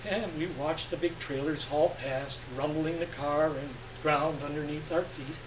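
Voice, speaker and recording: A man reads literary fiction aloud on stage with deliberate, expressive phrasing. His voice is fairly high and very unhurried, picked up from a distance in a roomy space. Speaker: story reader. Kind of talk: dramatic reading of literary fiction to a live audience. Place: live stage reading event. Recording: echoey room, distant mic, faint noise.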